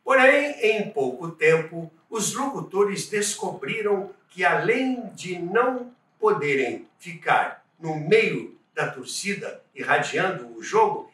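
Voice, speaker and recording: A man's voice: high-pitched, animated, takes it slowly, hardly any pauses, fairly bright tone. An older man with a Brazilian accent presenting to viewers as a channel host, telling stories emphatically with rhetorical questions. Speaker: host of a radio history channel. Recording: roomy, distant from the mic. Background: music.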